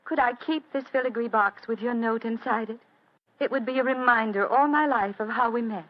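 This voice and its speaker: A woman's voice: fairly high pitch, a little fast, natural voice. An actress playing a polite, audacious young lady who feigns sweet contrition, in melodramatic old-time radio style. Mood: sweetly contrite. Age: young adult. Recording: dull vintage radio drama recording.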